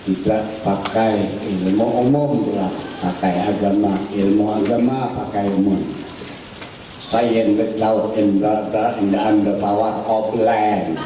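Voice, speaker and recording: An older man telling a life story at a religious gathering in an unhurried, folksy way with asides. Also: on the low side, fairly even intonation. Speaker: cleric telling his teacher's life story. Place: large hall at a religious gathering. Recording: echoey, distant mic, noisy hall.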